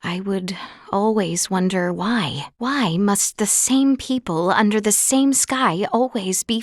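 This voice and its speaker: An actress playing an earnest, gracious young princess in a fantasy video game, with a clear youthful voice and formal, measured, archaic courtly phrasing. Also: female voice, medium pitch, no echo, clean studio sound, natural voice. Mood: wistful, solemn. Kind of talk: scripted video game voice acting. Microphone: close studio mic, dry.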